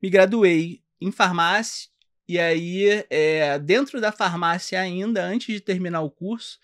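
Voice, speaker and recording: A high-voiced man with a Carioca accent talks in a podcast interview. He explains things patiently, stopping often to restart his sentences and filling the pauses. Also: a bit bright. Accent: Carioca Brazilian Portuguese. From Rio de Janeiro, Brazil. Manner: halting, many fillers and restarts, explanatory. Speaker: podcast guest, doctor. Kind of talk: casual interview chat.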